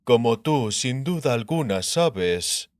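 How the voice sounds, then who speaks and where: Sardonic king, pompous, self-assured, lively intonation, medium pitch, audiobook drama. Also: slow, no room sound, clean studio sound. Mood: dry, formal. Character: shrewd, mocking, self-assured male king.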